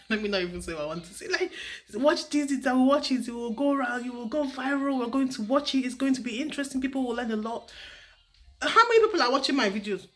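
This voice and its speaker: A woman from Nigeria, talking casually to camera as a vlogger from her home, animated and full of repeated rhetorical questions. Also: high voice, brisk.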